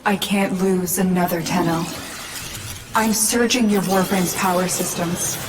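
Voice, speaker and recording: Game guide role: actress as protective female commander, lowish voice, urgent and earnest, amid loud battle noise. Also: fairly even intonation, measured pace, natural voice. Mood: urgent concern. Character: protective, urgent female guardian commander guiding her soldier. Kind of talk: video game cutscene acting.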